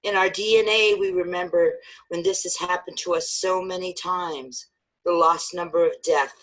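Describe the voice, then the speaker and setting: High-ish male voice, poetic solemn reading. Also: fairly even intonation, normal speed, fairly bright tone, poor audio. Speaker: actor reading a memorial piece.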